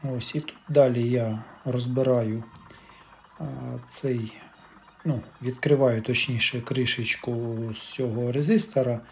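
A man with a medium-pitched voice talks slowly through a hands-on repair. He explains each step casually, as if thinking aloud. There is light background noise in the recording. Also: fairly even intonation, darkish tone. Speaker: electronics repair hobbyist narrating.

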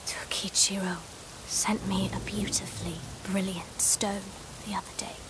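An actress playing a gentle young noblewoman in a game, wistful and formal, with a soft, breathy voice. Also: medium pitch, little pitch movement, a little slow, in a whisper, lightly acted, rough recording. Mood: sad, uneasy. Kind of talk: dramatic game cutscene acting.

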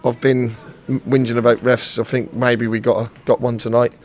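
Man, middle-aged, football manager, frank post-match talk, stumbles and repeats words, mid-pitched voice. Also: little pitch movement, moderate pace, darkish tone. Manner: candid, repeats words while searching for them.

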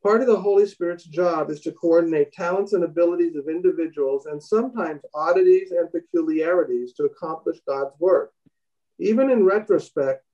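A man in his fifties leading a bible study on a video call, reading aloud from a book with halting restarts. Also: on the high side, warm tone, far from the mic, dull audio. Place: home room indoors. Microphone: laptop webcam on a video call.

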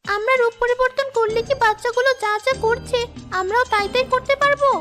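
A cheeky little ghost schoolgirl from a children's cartoon, extremely high and childlike. She has a whiny, mischievous manner, with loud music behind her. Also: fairly even intonation, brisk. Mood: curious, polite.

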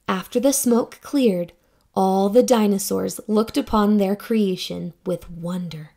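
A young woman reading a children's story aloud in a theatrical, expressive storytelling manner. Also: mid-range voice, slow, vocal fry. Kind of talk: expressive scripted read-aloud narration for children.

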